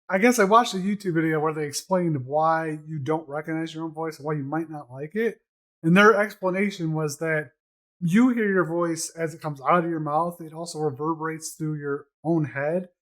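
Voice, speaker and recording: A man's voice: a little high, a bit bright. A man co-hosting a casual podcast chat, explaining things at length in an easy, conversational way. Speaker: podcast co-host.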